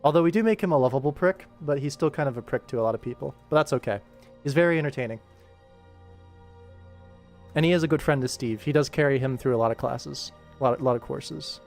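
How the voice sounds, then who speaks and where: A young man with a mid-pitched voice talks casually as an animator streaming his work. He rambles, jokes and pokes fun at himself as he goes. Music plays behind him. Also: fast talker, vocal fry, a little mellow. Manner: rambling, self-deprecating, jokey. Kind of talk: casual livestream chat while working. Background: background music.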